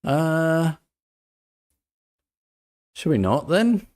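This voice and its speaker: A man's voice: on the high side, big pitch swings, extremely slow, darkish tone. A man giving casual playthrough commentary as a game streamer, muttering as he thinks aloud.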